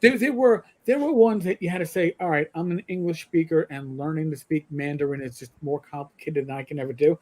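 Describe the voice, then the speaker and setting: A man's voice: fairly high pitch, fairly quick, hardly any pauses, warm tone. A middle-aged man co-hosting a gaming livestream, rambling with stammered restarts and the odd swear. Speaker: livestream co-host. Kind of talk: casual gaming chat.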